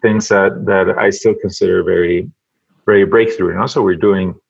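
A man from Venezuela, speaking English as a guest on a podcast, halting and repeating words as he thinks aloud. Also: deep voice, steady tone, gravelly, husky, muffled recording. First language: Spanish. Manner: halting, many repeated words, soft laughs. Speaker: podcast guest, advertising creative. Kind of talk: casual interview chat.

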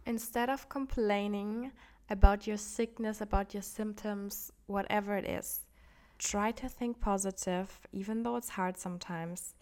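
A woman's voice: medium pitch, unhurried. Woman with a flu-affected voice. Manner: calm, gently encouraging self-care advice. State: sick with the flu, voice off. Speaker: podcast host. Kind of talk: solo wellness podcast monologue.

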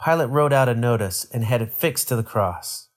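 Man, medium pitch, formal scripture reading, solemn and measured delivery. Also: animated. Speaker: scripture reader.

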